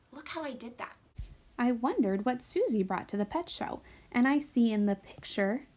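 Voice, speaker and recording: Expressive woman teaching young children. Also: a little high. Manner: expressive, addresses children directly with questions. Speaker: first grade teacher. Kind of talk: picture book read-aloud and lesson for young children.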